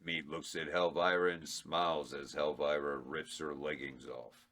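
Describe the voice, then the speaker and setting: A man reading the stage directions at a script table read, in a plain, unhurried way with slight stumbles. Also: a bass voice, flat delivery, fairly bright tone.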